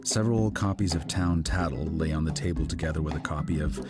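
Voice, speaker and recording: A man's voice: a bass voice, fast talker. A man reading literary fiction aloud as an audiobook narrator, in a scripted storytelling style. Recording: music behind the voice. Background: background music.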